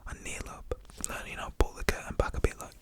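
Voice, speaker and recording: A man's voice: quick pace, a bit bright, dry recording. A young man tells a personal story in a whisper, full of "you know" and "literally".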